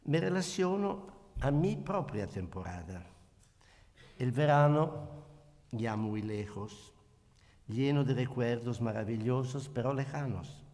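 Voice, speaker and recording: A man's voice: medium pitch, animated, darkish tone, in a large hall. An older Italian man speaking Spanish with an Italian accent, reading a reflective lecture from notes at a panel table. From Italy. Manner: slow, measured, reflective reading. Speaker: guest speaker. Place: conference hall at a panel table. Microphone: table microphone.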